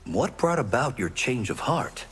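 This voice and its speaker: A man's voice: mid-range voice, very animated, a little slow, a bit bright, soft background music. A man playing a caring, principled warrior in a fighting game, earnest and serious. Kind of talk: fighting game dialogue acting.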